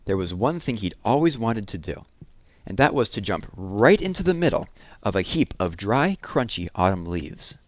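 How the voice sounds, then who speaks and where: Male storyteller, low voice, lively children's read-aloud, dry close recording. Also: moderate pace, no room sound. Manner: gentle, expressive storytelling.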